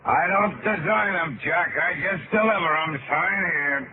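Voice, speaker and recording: A man's voice: a little high, unhurried, background noise. An actor playing a curt, impatient delivery man in a mid-century radio drama. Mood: brusque, impatient. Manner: curt, brusque. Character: gruff, impatient working-class delivery man. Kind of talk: 1950s radio drama acting. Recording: tinny band-limited old radio recording.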